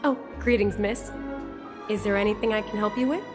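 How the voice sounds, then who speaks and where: A woman's voice: medium pitch, a little fast, close to an everyday voice. A woman voicing a helpful, deferential female ship attendant in a video game, polite and slightly hesitant. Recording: long hall reverb, background music.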